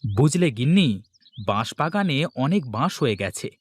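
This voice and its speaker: A man's voice: fairly high pitch, very animated, normal speed. A man playing a practical, reassuring village husband and elder brother in a Bengali comic cartoon. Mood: matter-of-fact.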